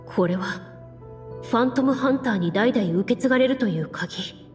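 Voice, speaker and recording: An actress playing a composed woman duelist who narrates a game adventure in a measured, formal, dramatic way. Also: on the high side, darkish tone, lightly acted. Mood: solemn, measured. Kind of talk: Japanese anime game narration. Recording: game music behind.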